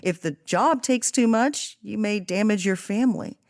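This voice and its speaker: A woman hosting a podcast, discussing a book in a reflective, expressive and emphatic way. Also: medium pitch, moderate pace, no room sound, studio quality. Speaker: podcast host.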